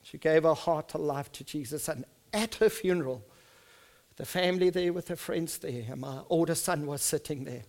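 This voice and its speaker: High-voiced Afrikaans-accented male pastor. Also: normal speed. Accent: South African Afrikaans. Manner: earnest, swings from soft and hushed to loud and emphatic. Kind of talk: sermon with personal storytelling.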